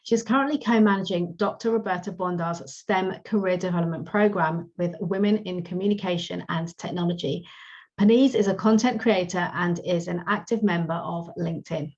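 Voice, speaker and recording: A woman's voice: fairly low pitch, fairly quick, a little mellow. Polished woman podcast host, muffled sound. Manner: polished, upbeat promotional delivery. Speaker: podcast host and career coach. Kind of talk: scripted intro then interview. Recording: dull lo-fi sound.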